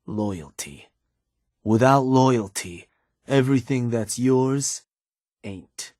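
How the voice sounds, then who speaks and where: An actor playing a calm, seasoned male raider advisor who talks in an easygoing, folksy drawl. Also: medium pitch, slow pace, no room sound, close to an everyday voice. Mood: steady. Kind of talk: game voice acting. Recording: dry studio recording.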